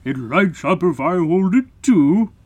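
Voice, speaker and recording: A man voicing a grumpy, lonely big male troll who talks loudly and grumbles. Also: high voice, expressive, measured pace, voice pushed up. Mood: grateful. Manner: loud, grumbling and complaining.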